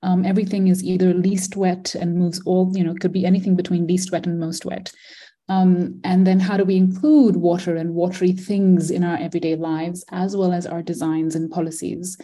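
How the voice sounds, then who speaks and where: Middle-aged woman from malaysia, low warm voice, lots of ums, thinks out loud. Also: little pitch movement, normal speed. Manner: thoughtful, many ums and self-corrections. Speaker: environmental philosopher and design educator. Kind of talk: interview guest, reflective explanation.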